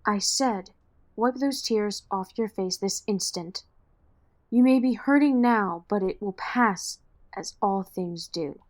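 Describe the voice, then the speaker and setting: An actress playing a poised, firm, wealthy mother in an audio drama, speaking in a measured, commanding way. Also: medium pitch, lightly acted. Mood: stern.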